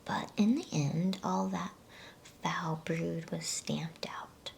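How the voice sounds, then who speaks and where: A woman reading a storybook in a soft, breathy ASMR whisper, with a soothing, unhurried manner and casual delivery. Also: on the low side, steady tone, a little hiss or hum. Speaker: ASMR bedtime story reader.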